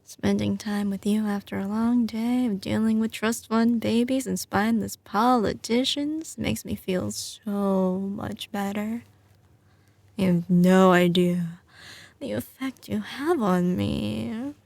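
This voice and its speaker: Actress as tough, doting mob-boss girlfriend for ASMR roleplay: Southern drawl, unhurried, creaky, teasing. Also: medium pitch, darkish tone, no echo. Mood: tender, teasing. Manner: slow, drawling, teasing endearments. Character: doting, tough mafia heiress girlfriend, young woman. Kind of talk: ASMR roleplay, intimate scripted monologue to the listener.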